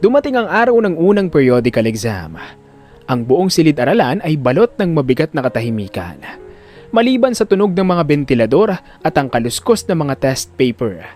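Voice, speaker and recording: Male narrator for audio drama: high voice, very animated range, emphatic storytelling, music underneath. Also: brisk.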